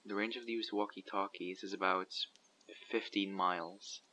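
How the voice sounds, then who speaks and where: A man voicing a guarded survivor leader who recruits people for a community, asking measured, probing questions in an audio roleplay. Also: low-pitched, steady tone, sharp tone, slight background noise. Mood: calm, probing. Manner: measured, probing, matter-of-fact. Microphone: USB condenser microphone.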